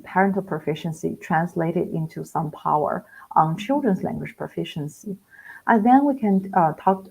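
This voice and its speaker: Hesitant woman researcher, gravelly low voice. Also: darkish tone, low-quality recording. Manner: hesitant, many fillers and restarts. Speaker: education researcher, guest. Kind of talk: live online interview, explaining research.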